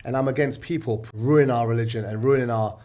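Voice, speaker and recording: A man's voice: mid-range voice, fairly even intonation, warm tone. A middle-aged man speaking as a podcast guest, blunt and unfiltered. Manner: blunt, unfiltered, talks in rapid bursts. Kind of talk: casual podcast conversation.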